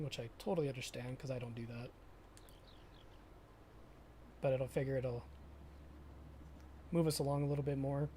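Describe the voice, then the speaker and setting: A young man chatting casually with viewers during a live stream of a farming game, often pausing and restarting his sentences. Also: mid-range voice, darkish tone, slight background noise. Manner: halting, self-correcting, chatty with viewers.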